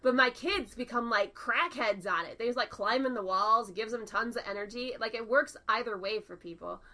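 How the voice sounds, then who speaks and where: A woman's voice: a little high, fairly quick, pushed and bright, slight background noise. A woman co-hosting a casual podcast, with a nasal voice, chatting and laughing often while telling stories. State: recovering from illness. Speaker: podcast co-host. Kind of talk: casual chat.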